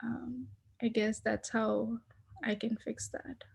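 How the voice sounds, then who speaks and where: A woman's voice: a little high, flat delivery, slow, creaky, darkish tone, breathy edge. A woman with a non-American accent speaking up in a group training, hesitant and full of fillers. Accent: non-American foreign accent. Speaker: real estate agent in training.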